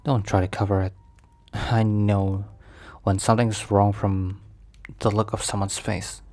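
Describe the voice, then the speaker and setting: A man playing an overprotective mafia boss boyfriend in an ASMR roleplay, speaking formally with measured, halting, slightly stilted phrasing. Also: fairly low pitch, flat delivery, a little mellow, soft background music. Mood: concerned, gentle.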